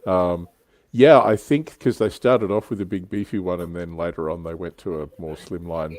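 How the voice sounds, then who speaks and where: Low-pitched man hosting a casual chat. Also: steady tone, moderate pace, a little mellow, no room sound. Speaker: livestream host. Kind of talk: casual hobby chat, reading viewer comments.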